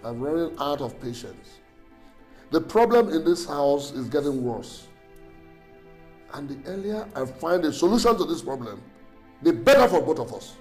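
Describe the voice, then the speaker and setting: Casting: man playing proud Nigerian husband, mid-pitched voice, formal delivery, film drama with music underneath. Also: a little slow, long pauses, mellow, close to an everyday voice. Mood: frustrated, indignant.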